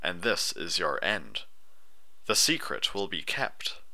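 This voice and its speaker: Guy doing a cold dispassionate ghost unicorn, slow and solemn, very sharp clear tone. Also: sits low, very animated, full character voice. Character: dispassionate ghostly unicorn spirit guarding a secret. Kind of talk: audiobook character voice.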